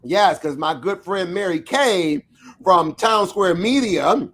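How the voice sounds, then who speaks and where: A man hosting an online alumni show, chatting casually and shouting with excitement as he brings on guests, speaking over a video call. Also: sits high, slow. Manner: excitable, shouts and whoops when introducing guests. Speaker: show host. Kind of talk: hype introduction and casual interview chat.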